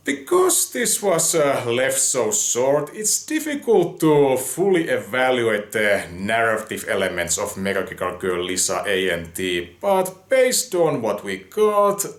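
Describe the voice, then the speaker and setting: A man reading a scripted review as narration, opinionated and given to wry asides. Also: medium pitch.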